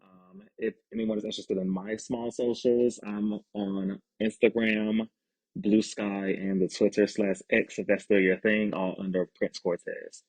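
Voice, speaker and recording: A man with a low, husky voice co-hosts a relaxed podcast conversation. His voice sounds slightly raspy, and he keeps an easygoing tone, laughing often. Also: unhurried, poor audio. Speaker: podcast co-host. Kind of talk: casual chat.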